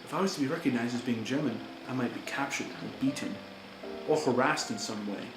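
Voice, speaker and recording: A man's voice: mid-range voice, a little fast, music in the background, natural voice. A man acting an earnest, indignant German-born immigrant who pleads for fair treatment, in an amateur role-play monologue. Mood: pleading. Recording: echoey room with background noise.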